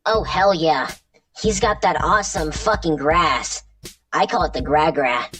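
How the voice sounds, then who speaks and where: A woman's voice: low voice, unhurried, fairly bright tone, a little hiss or hum. A woman voicing a crude, rowdy troll camp thug in an amateur comic dub. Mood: excited.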